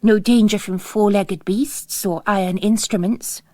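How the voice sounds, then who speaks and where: A woman's voice: medium pitch, steady tone, unhurried, dark tone, no echo, clean studio sound. A woman narrating a novel as a scripted literary reading.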